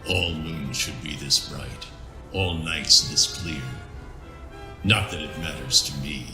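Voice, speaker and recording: A man playing a brooding, grim vigilante superhero in an audio drama, speaking tersely and gravely with dry irony. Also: extremely low pitch, takes it slowly, echoey room, music in the background, pitched below the performer's own voice. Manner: terse, grave, dryly sardonic.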